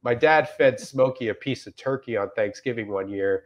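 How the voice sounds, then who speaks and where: A man in casual comic chat and reading reviews aloud, rambling and deadpan, with some swearing, on a video call. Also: mid-range voice, moderate pace. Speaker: comedian guest. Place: indoors on a group video call.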